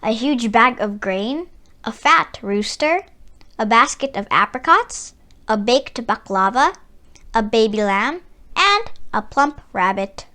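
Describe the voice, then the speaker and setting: High-voiced, animated woman reading a storybook. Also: takes it slowly. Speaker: children's story reader. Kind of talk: read-aloud storybook narration.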